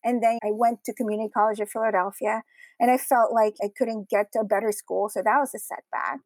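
A woman's voice: on the high side, steady tone, fairly quick, no room sound. A woman from the Philippines speaking as an interview guest, sharing personal stories in a conversational way. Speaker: interview guest, women's community founder. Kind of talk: personal storytelling interview answers.

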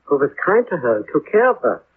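Excitable European neighbour, talkative, raspy, high and swooping, narrow vintage radio sound. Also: male voice, fast, slight background noise. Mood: agitated, gossipy. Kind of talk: 1950s radio character acting. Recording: thin, narrow-band vintage 1950s radio.

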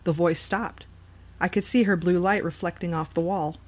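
Lower-pitched woman narrating a horror story. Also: steady tone, normal speed. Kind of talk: first-person scripted narration.

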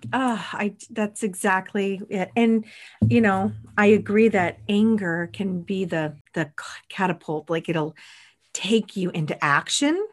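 A woman co-hosting a casual spiritual podcast, supportive and quick to agree with her friend. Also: mid-range voice. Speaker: podcast co-host.

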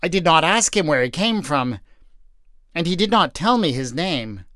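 A man voicing an earnest, excited wife telling her husband news. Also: on the high side, moderate pace, dry recording, clean studio sound.

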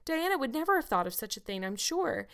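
Storybook role: impulsive young girl, played by a woman narrator, high-voiced, earnest, pleading and dramatic. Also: big pitch swings, quick pace, a bit bright, clean tone, exaggerated voice.

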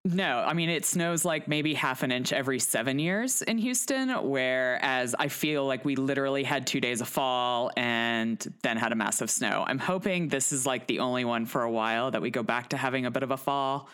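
A woman's voice: very deep voice, moderate pace, hardly any pauses, a bit bright, smooth. An American woman, around her thirties or forties, chatting casually as a podcast co-host. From United States. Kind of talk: casual chat.

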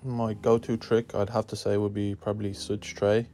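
A man's voice: lowish voice, little pitch movement, normal speed, dark tone. A young man from Lithuania, a guest skater answering a questionnaire in a laid-back, slangy way with some swearing. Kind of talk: casual spoken answers.

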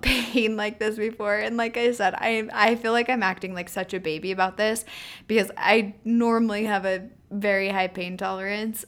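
A woman in her thirties hosting a solo podcast, chatting casually with lots of ums and likes, her voice a little nasal from a healing throat. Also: medium pitch. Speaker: podcast host.

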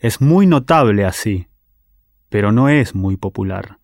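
A man with a Latin American Spanish accent models sentences in a measured, demonstrative way. His voice sits fairly low, recorded dry and close. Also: expressive, darkish tone, dry recording. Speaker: native speaker model. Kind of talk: modeling pronunciation.

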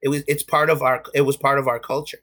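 Emphatic New York man with bright tone. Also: medium pitch, level tone, fast talker. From USA. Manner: frequent restarts and fillers, candid and emphatic. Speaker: guest. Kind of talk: casual interview talk.

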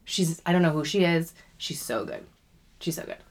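A woman's voice: sits low, moderate pace. A young adult woman co-hosting a podcast, chatty and teasing, sometimes dropping to a whisper. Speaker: podcast co-host. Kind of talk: casual chat.

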